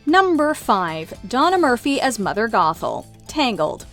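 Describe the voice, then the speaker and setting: A woman's voice: fairly high pitch, sing-song, unhurried, a bit bright, soft background music. A woman reading scripted narration as the presenter of a countdown list.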